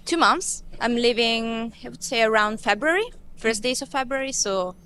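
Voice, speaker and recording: A woman's voice: fairly high pitch, normal speed, sharp tone, smooth. A woman giving casual, conversational answers in an interview.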